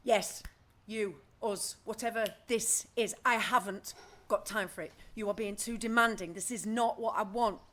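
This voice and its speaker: A woman playing a brusque, socially awkward, overwhelmed woman in a naturalistic audio play, speaking bluntly in halting, repeated phrases. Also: medium pitch, steady tone, fairly bright tone, natural voice. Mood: blunt, exasperated. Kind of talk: naturalistic audio drama acting.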